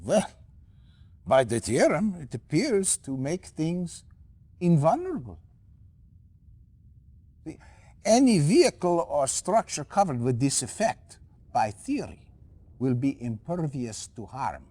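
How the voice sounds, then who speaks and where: An actor playing an excitable, eccentric male professor in a video game cutscene, halting and giggly with enthusiasm. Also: on the high side, no room sound, heavily acted. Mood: giddy, absorbed.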